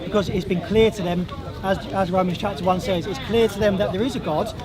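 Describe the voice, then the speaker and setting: Hoarse high-voiced man arguing outdoors. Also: steady tone, brisk. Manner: insistent, argumentative, repeats himself when cut off. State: hoarse, losing his voice. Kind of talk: outdoor street debate. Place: outdoors in a crowded public park. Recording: outdoor crowd noise.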